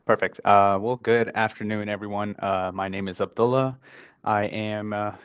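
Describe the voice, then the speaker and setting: A young man introducing himself as a student panelist over a video call, halting with many fillers. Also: on the low side, steady tone, clean tone, dry recording. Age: young adult. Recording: dry, dull video call audio.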